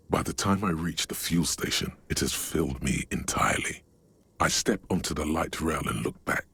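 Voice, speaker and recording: A man acting as a brooding inner-voice narrator in a game, grave and intimate, with a husky, solemn delivery. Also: extremely low pitch, fairly bright tone, exaggerated voice. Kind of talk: scripted dramatic game narration. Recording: music under the voice.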